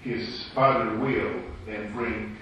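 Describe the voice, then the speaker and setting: Slow Romanian-accented man, hesitant. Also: on the low side, steady tone, fairly bright tone, slight background noise. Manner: hesitant, searching for English words. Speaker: participant. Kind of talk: group Bible study discussion. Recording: echoey room, far from the mic.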